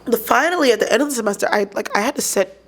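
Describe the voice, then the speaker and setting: A woman's voice: a little high, rapid-fire. A young woman on a podcast, telling a story in a casual, exasperated way and using "like" a lot. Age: young adult. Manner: animated, exasperated, heavy use of "like". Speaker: podcast guest.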